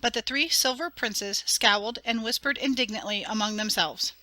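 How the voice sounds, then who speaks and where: A woman narrates a fairy tale with clear, expressive storytelling. Her voice is bright and rather high. The recording is close and dry, with no room sound. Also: moderate pace, no room sound. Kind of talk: dramatic audiobook reading.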